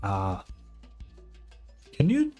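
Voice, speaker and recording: A woman's voice: a bass voice, wildly swooping pitch, very slow, dark tone, faint music behind. A woman streamer giving casual, offhand and blunt live commentary while reacting to a game.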